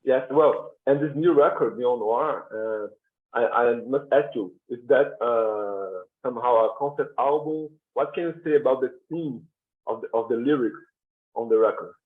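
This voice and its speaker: A man whose first language is Portuguese, interviewing a guest with polite, halting questions. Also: mid-range voice. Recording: tinny phone-like call audio.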